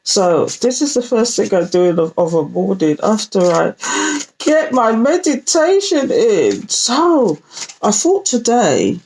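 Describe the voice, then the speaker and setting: A woman with a British accent and a croaky, groggy morning voice, chatting playfully as she reads oracle cards for viewers. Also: mid-range voice, very animated, takes it slowly, darkish tone, a little hiss or hum, rough recording. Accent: British, London Jamaican slang. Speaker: tarot reader. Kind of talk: casual oracle card reading to camera.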